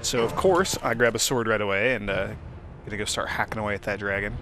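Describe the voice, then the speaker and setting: A man's voice: on the low side, fast talker, over loud music. A man giving casual, understated and wry commentary as he plays through a video game. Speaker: let's play host. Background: game soundtrack.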